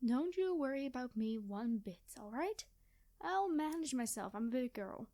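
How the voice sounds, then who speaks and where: A woman plays a quirky female time traveler in an ASMR story roleplay. Her voice is high and close, with a dry sound free of room echo. She rambles cheerily and gets flustered and hesitant as she tries to cover up her secret. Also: big pitch swings, normal speed, mellow. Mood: hushed, exasperated. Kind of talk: ASMR storytelling roleplay, one-sided conversation.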